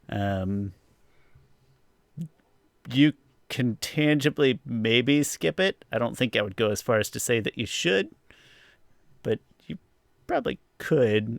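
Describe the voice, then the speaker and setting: A man's voice: on the low side, creaky, fairly bright tone, no echo. Casual male podcast host, close dry sound. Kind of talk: casual review podcast chat.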